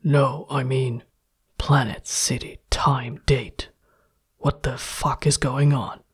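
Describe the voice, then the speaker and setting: Gruff, menacing male prisoner voiced by a female narrator, low and gravelly. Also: steady tone, slow pace, exaggerated voice. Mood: angry, menacing. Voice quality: deep, gravelly. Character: gruff angry captive man, cursing.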